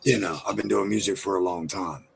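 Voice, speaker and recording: A man in his thirties with a deep, husky voice, a rapper chatting in a casual interview, rambling and swearing with lots of "you know, like.". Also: a little fast, background noise. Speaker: rapper guest.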